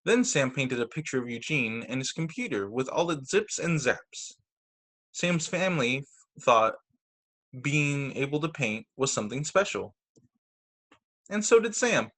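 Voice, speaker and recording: A man's voice: medium pitch, a little slow. A man reading picture books aloud to children as a teacher, expressively, with casual asides about the pictures. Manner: expressive, acts out quoted lines, comments on the pictures. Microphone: video call.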